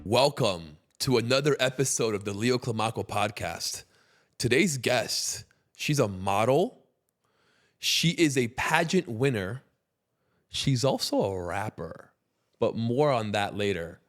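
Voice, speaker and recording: A man's voice: medium pitch, lively intonation, a little slow. A man hosting a podcast interview, upbeat and encouraging with short affirmations. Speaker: podcast host.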